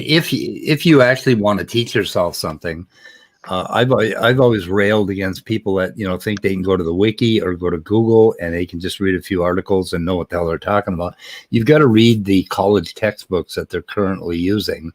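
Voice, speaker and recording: A man's voice: sits low, fairly quick. A man hosting a casual panel chat, blunt and joking as he rambles.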